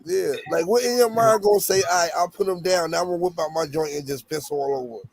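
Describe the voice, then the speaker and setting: Man, fairly high voice, emphatic, repeats himself, crowd noise behind. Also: fast, vocal fry. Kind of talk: casual chat.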